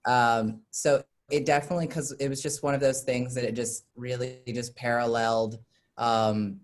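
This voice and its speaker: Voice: mid-range voice, steady tone, normal speed. A person chatting casually and hesitantly on a video call, rambling a little while moderating questions. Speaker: moderator and theater collaborator. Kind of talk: casual chat.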